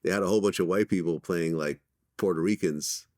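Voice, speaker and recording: A man's voice: low-pitched, fairly quick, darkish tone, dry recording, clean studio sound. An American man working as an interviewer, asking questions and commenting in a casual, conversational style.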